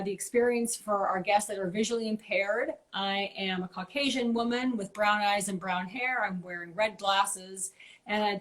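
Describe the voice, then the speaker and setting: A woman's voice: medium pitch, a bit bright. A woman hosting a live-streamed interview show, welcoming her guest in an upbeat, casual way. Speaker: show host. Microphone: live video stream from home.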